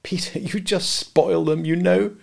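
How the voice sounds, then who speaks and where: Prim little-mother girl, read by a man, bossy and tart, lifted pitch. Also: huge pitch swings, a little slow. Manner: bossy, fussing.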